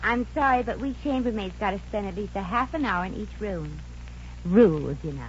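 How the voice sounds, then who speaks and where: A woman's voice: medium pitch, expressive, brisk, lightly acted. An American woman playing a chatty, meddling young chambermaid in a 1950s radio comedy, with a breezy, slangy, pestering manner. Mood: chatty, persistent. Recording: noisy, muffled old radio recording.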